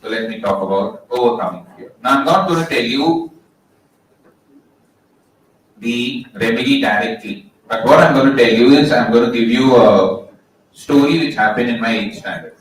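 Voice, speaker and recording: A young man with an Indian English accent and a loud voice, telling a joking story to a live audience and asking them questions. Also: mid-range voice, normal speed. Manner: jokey, asks the crowd questions. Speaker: motivational speaker. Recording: echoey hall, distant mic.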